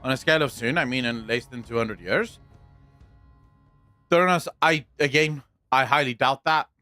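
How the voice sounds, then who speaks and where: A young man with a South African accent chatting on a livestream, answering viewers in a deliberate, blunt way, repeating his points and swearing now and then. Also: medium pitch, bright, forceful tone, faint music behind. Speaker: streamer.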